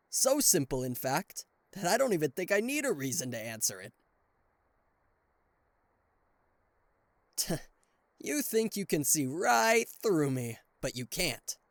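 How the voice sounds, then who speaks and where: A man playing a cocky, playful and easily flustered king of hell as an affectionate boyfriend in an ASMR roleplay, with theatrical teasing pauses and stammers. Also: high-pitched, no echo. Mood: defensive. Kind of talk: ASMR roleplay addressed to the listener.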